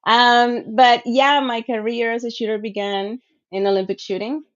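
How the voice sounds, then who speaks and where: A woman's voice: on the high side, fairly even intonation, normal speed. A woman, telling her story as a guest in an earnest, reflective way with many fillers, over a video call. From Venezuela. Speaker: guest speaker, sport shooter. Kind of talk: casual personal storytelling. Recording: muffled lo-fi call audio.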